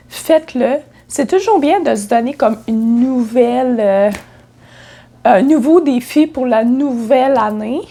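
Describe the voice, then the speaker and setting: A woman's voice: a little high, mellow. A woman with a Quebec French accent, talking casually in a vlog as a spiritual coach, slightly congested from a cold and filling her speech with hesitations. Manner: chatty, many fillers and restarts.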